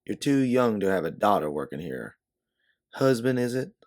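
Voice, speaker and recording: A man's voice: fairly low pitch, big pitch swings, moderate pace, a little mellow. A man voicing a blunt, watchful, folksy doorman in a story, talking plainly and protectively. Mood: suspicious, probing. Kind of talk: second-person storybook narration, character dialogue.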